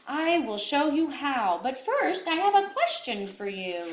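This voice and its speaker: High-voiced woman reading a picture book. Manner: expressive, lilting read-aloud delivery. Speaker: children's book reader. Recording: echoey room.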